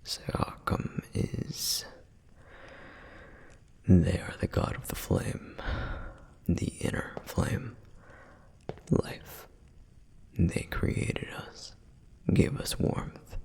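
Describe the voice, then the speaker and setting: A man voicing a protective, reverent flame demon in an ASMR roleplay, speaking in a breathy, husky whisper with measured, gentle explanations and frequent pauses. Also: low-pitched, exaggerated voice. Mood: solemn, reverent. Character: male flame demon, protective, reverent, softly flirtatious lore guide. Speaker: audio roleplay performer. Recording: long reverberant hall echo.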